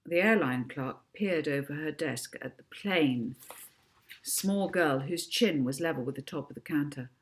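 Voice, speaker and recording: A woman author reading her own children's book aloud as expressive narration. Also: sits low, unhurried.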